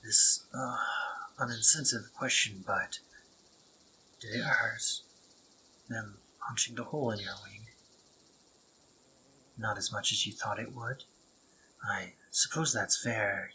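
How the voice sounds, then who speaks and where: A man voicing a sardonic but tender spider-monster man, speaking in a soft, breathy half-whisper in an intimate audio roleplay. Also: lowish voice, a little hiss or hum, low-quality recording. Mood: soothing. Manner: gentle, dry and teasing, intimate.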